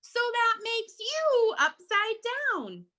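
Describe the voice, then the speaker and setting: A woman voicing a loud, bossy know-it-all bat in a children's storybook, explaining things emphatically. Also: extremely high pitch, wildly swooping pitch, slow, pitched above the performer's own voice, exaggerated voice. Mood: insistent.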